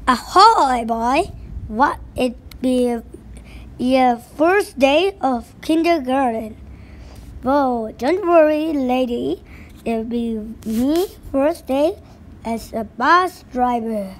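Woman doing a pirate bus captain, high and very slow, all arrs and slang, big talk but a scaredy-cat. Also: animated, slight background noise, full character voice, rough recording. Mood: hearty. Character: blustering pirate captain turned bus driver, boastful but easily scared. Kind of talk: storybook read-aloud.

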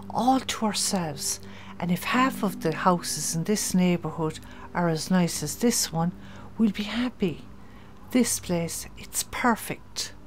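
A woman playing a gentle, hopeful wife among survivors in a comic dub, with a soft delivery. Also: lowish voice, a little hiss or hum. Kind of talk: amateur comic-dub voice acting.

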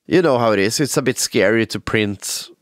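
A man's voice: mid-range voice, moderate pace, no echo, studio quality. A man hosting a podcast, telling news in a rambling way with many fillers, sounding nasal from a stuffy nose due to a cold. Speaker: podcast host and band musician. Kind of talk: solo podcast update.

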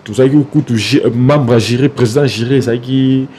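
A Congolese man in his forties with a Kinshasa accent, a singer talking in a casual studio interview, halting with many fillers and restarts. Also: mid-range voice, fairly even intonation, a little mellow, slight background noise. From Democratic Republic of the Congo. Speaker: singer guest. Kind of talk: casual interview talk mixing Lingala and French. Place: small TV studio, indoors. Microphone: desk mic on stand, close.

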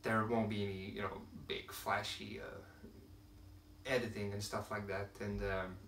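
A man's voice: lowish voice, level tone, unhurried, fairly bright tone. A young man from Quebec whose first language is French, talking casually to camera as a channel host, with many fillers and restarts as he searches for words. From Quebec, Canada. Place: room at home with shelves of films and games. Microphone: camera at a distance. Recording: roomy, distant camera sound, faint hiss.

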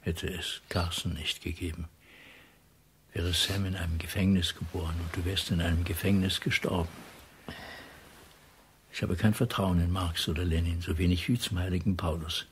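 Weary middle-aged male spy, low, very husky and breathy, conscience-torn, radio drama. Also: fairly even intonation, normal speed. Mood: weary, subdued. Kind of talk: intimate German radio play acting.

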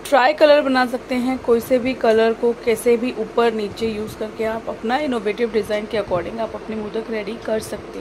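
A young woman with an Indian accent and a cold and sore throat, casually explaining a recipe as host of a cooking channel, switching between Hindi and English. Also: a little high. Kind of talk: casual recipe demonstration voice-over. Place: home kitchen. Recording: home video with noticeable background noise.

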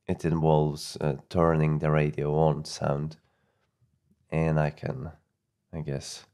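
A man narrating a software tutorial off the cuff, halting and often repeating words. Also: very deep voice. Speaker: audio editing tutorial presenter.

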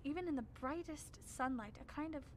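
A woman playing a grave, dread-filled scholar in a radio drama, speaking in a formal, measured, ominous way. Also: sits high, a little hiss or hum, natural voice. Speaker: actor narrating. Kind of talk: radio drama narration as a written record.

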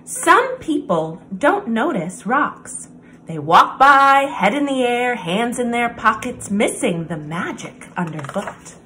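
A woman presenting story time, reading a children's picture book aloud with deliberate, expressive phrasing and pauses. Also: fairly high pitch, a little hiss or hum.

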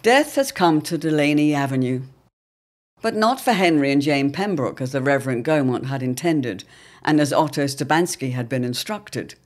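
A man narrating an audio drama serial in a measured, portentous, dramatic storytelling style. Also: fairly high pitch, expressive. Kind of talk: dramatic scripted narration, old radio serial. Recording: clear background ambient noise.

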